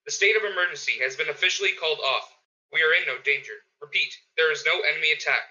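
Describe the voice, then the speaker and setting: An urgent civil emergency announcer in a radio drama, played by a man. The voice is very bright and forceful, fairly high, with a lo-fi dullness to the recording. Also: fast talker. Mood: official, urgent. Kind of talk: repetitive emergency broadcast announcement. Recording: dull lo-fi audio.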